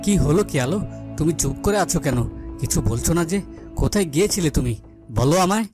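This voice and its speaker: Man voicing an anxious high-voiced king. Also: lively intonation, fast, natural voice. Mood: anxious, questioning. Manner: fretful, repetitive, dramatic. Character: anxious, devoted king of a fairy-tale palace. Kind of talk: melodramatic cartoon acting. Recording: music behind the voice.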